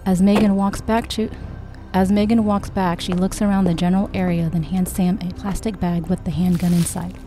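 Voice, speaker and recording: A woman's voice: on the low side, flat delivery, moderate pace. A woman narrating a scripted story read aloud, with music behind her. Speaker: audiobook reader.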